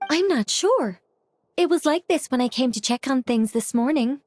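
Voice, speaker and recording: A woman playing a composed, cautious female angel mage who explains things in a measured way in a video game. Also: sits high, expressive, darkish tone. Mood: reluctant, cautious. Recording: music behind the voice.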